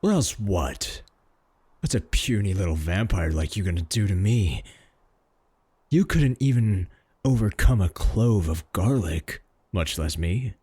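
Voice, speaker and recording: A man acts out a boastful, doting male werewolf in a comic audio drama. His voice is lowish and husky, with big swings in pitch as he drawls and mocks his rival. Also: lively intonation, a little slow, warm tone, no room sound, heavily acted. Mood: taunting. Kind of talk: comedic audio roleplay acting.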